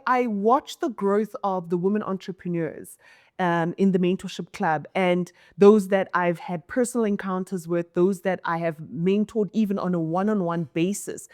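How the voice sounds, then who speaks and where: Commanding South African woman mentor. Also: darkish tone. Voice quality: low commanding voice. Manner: emphatic, repeats words, laughs often. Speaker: mentor and entrepreneur. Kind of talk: reflective interview answer.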